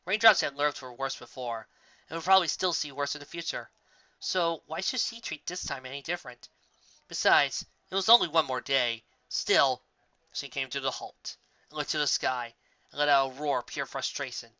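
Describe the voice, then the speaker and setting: Amateur male story reader, bright and tense, occasionally tripping on words, close dull home recording. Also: a little high, moderate pace, no room sound. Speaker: fan fiction reader. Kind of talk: scripted story narration.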